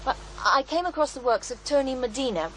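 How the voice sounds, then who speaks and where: An actress in an audio drama playing a middle-aged commune healer, wry, earthy and matter-of-fact, gently teasing. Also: female voice, sits high, animated, a little fast. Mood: earnest, knowing. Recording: noisy outdoor ambience bed.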